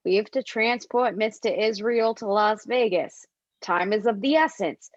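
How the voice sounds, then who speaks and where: A woman reading the part of a curt, businesslike FBI official in an amateur table read, formal and measured. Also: mid-range voice, no room sound, muffled recording, natural voice.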